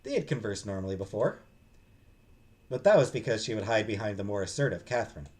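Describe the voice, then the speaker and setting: A man's voice: lowish voice, expressive, quick pace, darkish tone. A man streaming a game, reading the story aloud expressively and dropping into casual asides.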